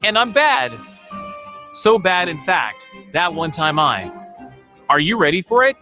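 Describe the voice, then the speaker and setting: A man's voice: high-pitched, sing-song, unhurried, pushed and bright, soft background music, heavily acted. A man voicing a boastful big bad wolf in a children's cartoon story, bragging in a theatrical way.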